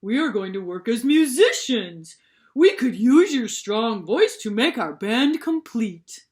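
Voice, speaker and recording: A woman's voice: fairly high pitch, big pitch swings, takes it slowly, darkish tone. A woman voicing a sad old male farm dog in a children's storybook reading. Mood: eager.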